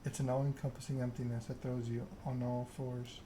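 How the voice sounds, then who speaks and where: Even-toned man reading, noisy background. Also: mid-range voice, fairly quick. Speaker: game streamer reading a game aloud. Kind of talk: ASMR narration of a story game.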